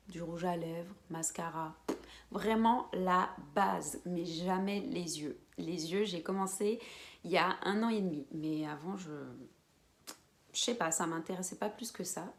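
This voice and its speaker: A woman in her twenties from French Guiana, chatting casually to camera as a beauty vlogger, with quiet whispered asides. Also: on the low side, vocal fry. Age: 20s. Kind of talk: casual vlog chat to camera.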